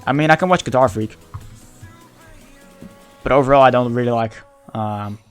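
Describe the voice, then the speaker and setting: A man whose first language is Dutch speaks English with a Dutch accent in casual livestream chat. He talks haltingly, searching for words and often restarting. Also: mid-range voice, clean tone, faint music behind. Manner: halting, searching for words, many repeated starts.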